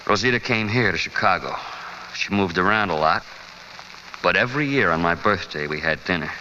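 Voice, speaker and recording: A man's voice: sits low, animated, a little fast, pushed and bright. An actor playing an earnest, grieving American man in a 1940s radio drama. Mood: subdued, sorrowful. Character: grieving, earnest brother of a dead woman. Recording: dull, faintly noisy old radio recording.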